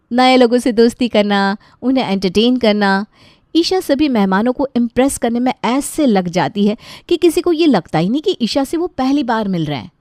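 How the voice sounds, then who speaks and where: A woman reading scripted storybook narration in an expressive, lightly wry way. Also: fairly high pitch, no echo, studio quality.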